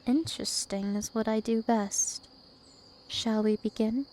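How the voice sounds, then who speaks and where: Slow, seductive vampire princess, acted by a woman. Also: on the high side, fairly even intonation, darkish tone, slight background noise, lightly acted. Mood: calm, probing. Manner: slow, deliberate, teasing and possessive. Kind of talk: ASMR roleplay.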